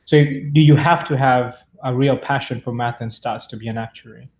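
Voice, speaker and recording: A man's voice: mid-range voice, fairly even intonation, moderate pace, darkish tone. Man host asking rambling questions. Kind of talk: radio interview questions.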